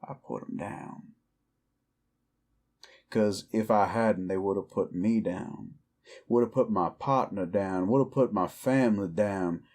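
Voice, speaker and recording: An actor playing a weary, defiant cowboy outlaw werewolf in an audio roleplay, with a folksy, brooding American Southern or Western drawl. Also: male voice, lowish voice, slow, darkish tone. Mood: bitter, defiant. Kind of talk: slow dramatic roleplay monologue to the listener.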